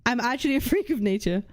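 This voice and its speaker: Casting: young woman streamer, fairly high voice, blunt and crude, chatty and offhand. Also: a little mellow. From United Kingdom. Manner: blunt, swears freely, thinks aloud while reading lists. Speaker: livestream host. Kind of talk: casual livestream chat reading chat aloud.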